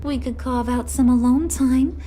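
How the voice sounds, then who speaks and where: A woman's voice: high voice, steady tone, unhurried, mellow, a little hiss or hum. A woman playing a brash, flirtatious and menacing villain in a video game, with a sassy, taunting, mock-sweet delivery. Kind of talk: dramatic video game acting.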